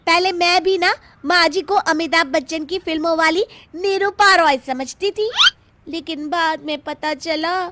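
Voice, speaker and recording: A woman voicing a sly young daughter-in-law in an animated comic story, gossipy and exaggerated. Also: very high-pitched, a little slow, a little hiss or hum, exaggerated voice. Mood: sly gossip. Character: sly young scheming daughter-in-law who stirs up trouble.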